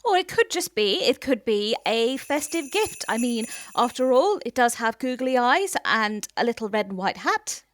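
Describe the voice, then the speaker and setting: Woman playing a high-voiced, cheery reassurer. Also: sing-song, moderate pace, hardly any pauses. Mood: reassuring. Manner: reassuring, blithe. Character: cheery, reassuring woman full of festive goodwill. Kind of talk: comic audio drama.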